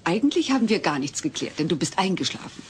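A woman playing a middle-aged, sharp-tongued wife and mother with dry delivery in a dubbed sitcom audio play. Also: lowish voice, big pitch swings, quick pace, a little hiss or hum. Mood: irritated.

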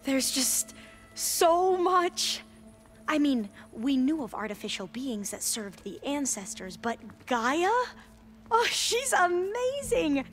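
High-voiced, eager young scholar. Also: female voice, sing-song, heavily acted. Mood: awed, flustered. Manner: gushing, halting and self-correcting when flustered. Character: excitable young woman scholar, awed, rambling, earnest. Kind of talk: video game dialogue acting. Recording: loud music behind the voice. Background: music.

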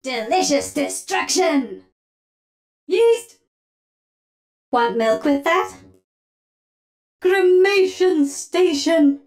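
A woman's voice: high-pitched, expressive, extremely slow, pauses often, a little mellow, heavily acted. A woman playing a feisty, sassy robot oven mom who bakes and fights, delivering theatrical punning one-liners for a video game. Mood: gleefully menacing. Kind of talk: video game character voice lines.

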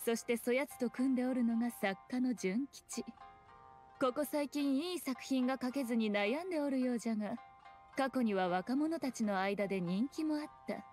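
Woman playing a haughty, high-voiced fox shrine maiden. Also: normal speed, natural voice. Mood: composed, authoritative. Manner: archaic lordly speech, composed and condescending. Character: haughty, sly female fox shrine maiden, ageless, archaic speech. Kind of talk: scripted video game dialogue. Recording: soft music underneath.

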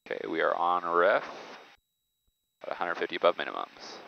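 A man flying solo and calmly talking through his landing and shutdown checklists in a small jet cockpit. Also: deep voice, brisk, gravelly, dull audio, a little hiss or hum. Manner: calm, matter-of-fact checklist callouts. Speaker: pilot. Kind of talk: solo flight vlog with checklist callouts.

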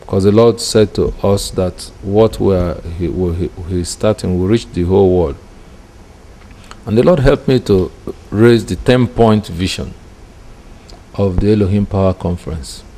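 A middle-aged man with a Nigerian accent, a ministry leader formally setting out a list of aims in a measured way. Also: low voice, dark tone. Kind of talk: explaining and reading out a vision statement. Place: indoor curtained room. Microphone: handheld-style interview microphone in front.

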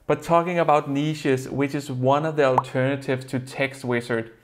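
A young man with a Danish accent, a native Danish speaker, earnestly explaining a software review to camera from a desk in a plain room. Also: mid-range voice, steady tone, normal speed, a little hiss or hum. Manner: earnest, explanatory, slightly non-native phrasing.

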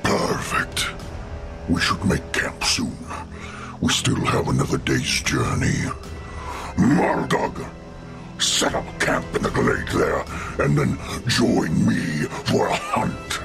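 A powerful orc war leader with an extremely low, gravelly growl. He speaks with slow, cruel menace and dry wit, over music in a fantasy audio drama. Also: heavily acted.

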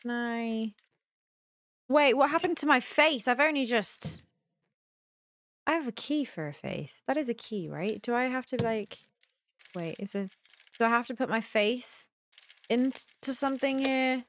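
A woman's voice: on the high side, unhurried, long pauses, a bit bright, slight background noise. A young woman gives casual let's play commentary, thinking aloud and questioning herself in puzzlement. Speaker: gaming video host. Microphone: close desk mic with headset on.

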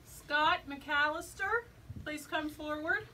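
An actress on a church stage playing a heavenly court assistant who formally calls names and reports records. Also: female voice, sits high, takes it slowly, pushed and bright, slight background noise, full character voice. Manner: formal, announcing. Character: brisk female court assistant angel. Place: church stage set, indoors. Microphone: distant camera mic. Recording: distant stage voice.

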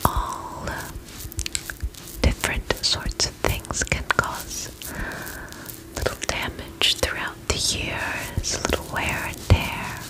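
A young woman playing a gentle vampire spa attendant in a soothing, breathy ASMR whisper, slow and polite, close to the microphone. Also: natural voice, low-quality recording. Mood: soothing, attentive. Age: young adult.

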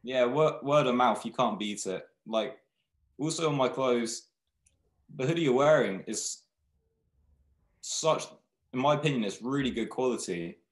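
A young man who founded a clothing brand, talking in a relaxed, rambling way with many restarts on a video call from a small room. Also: mid-range voice, measured pace, long pauses. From Thailand, raised in England. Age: young adult. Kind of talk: casual video-call interview. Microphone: webcam video call. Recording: echoey room.

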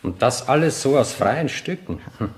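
A man's voice: medium pitch, lively intonation, moderate pace, natural voice. An actor playing a skeptical, matter-of-fact male chief inspector with a Viennese accent in a radio crime drama, colloquial and pondering.

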